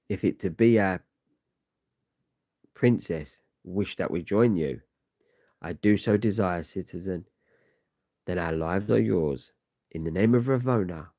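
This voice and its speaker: A man's voice: low voice, darkish tone, dry recording. A man voicing a loyal rebel citizen from a comic book, formal and fervent.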